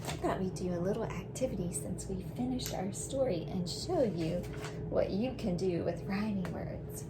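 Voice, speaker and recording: A woman's voice: mid-range voice, moderate pace, very noisy. A woman teaching rhyming to young children, sounding things out carefully and prompting with questions. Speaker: children's read-aloud teacher.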